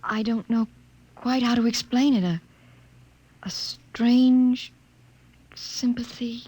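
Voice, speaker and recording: An actress playing an earnest, troubled young woman scientist in a 1950s radio drama, with a breathy voice and halting delivery. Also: on the high side, steady tone, a little mellow. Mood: wondering, tender.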